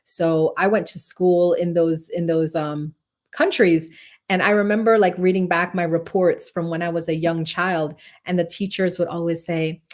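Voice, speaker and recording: Woman in her 40s doing a live tarot class, slightly low voice, chatty, says hi to viewers. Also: fairly even intonation, normal speed, darkish tone. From Barbados and Guyana. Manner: chatty, greets viewers by name. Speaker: tarot teacher. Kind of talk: live-stream teaching talk.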